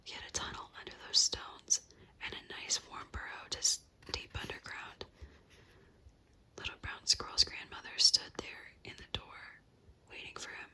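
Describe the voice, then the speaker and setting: A woman narrating an animal bedtime story in a soft, unvoiced whisper. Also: moderate pace.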